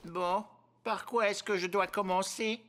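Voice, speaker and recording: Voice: mid-range voice, fairly even intonation, a little slow, fairly bright tone, lightly acted. An actor in a French cartoon dub voicing a gluttonous, childish, self-satisfied and dim-witted villain who has become mayor. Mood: dim, puzzled. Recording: music bed behind voice.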